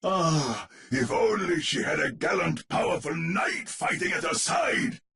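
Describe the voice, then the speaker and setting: A man playing a melodramatic storyteller, narrating a spooky heroic tale for a video game in a grand, theatrical manner with drawn-out emphasis. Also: fairly high pitch, very animated, sharp tone, raised voice, full character voice. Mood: melodramatic yearning. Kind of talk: theatrical scripted gothic horror-tale narration.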